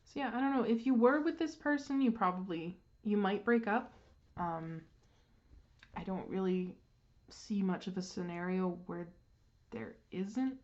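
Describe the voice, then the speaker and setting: Stuffy-sounding woman doing a tarot reading, lots of ums, kinda muffled audio, middle-pitched voice. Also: a little mellow. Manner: unhurried, with frequent ums and restarts. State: congested, clearing throat. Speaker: tarot reader. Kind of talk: casual card reading.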